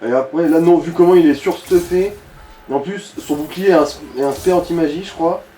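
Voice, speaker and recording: A man guides a player through a video game in casual, crude banter. His voice sits a little high but stays mellow and soft-edged. The room is echoey, with faint noise behind him. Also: fairly quick. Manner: crude joking, swearing. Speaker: let's play commentator guiding the player. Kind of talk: casual gaming commentary.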